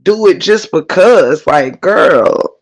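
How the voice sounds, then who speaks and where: A hoarse, slightly congested man hosting a livestream, reading the chat and ranting emphatically, with lots of swearing and repetition. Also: high-pitched, expressive, slow pace. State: hoarse, losing voice. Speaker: livestream host.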